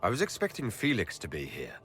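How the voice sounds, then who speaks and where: An actor playing a guarded, questioning leader and hero in a video game. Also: male voice, on the low side, huge pitch swings, fast talker, a bit bright, faint music behind. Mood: curious. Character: questioning, wary male elf leader and hero. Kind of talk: video game dialogue acting.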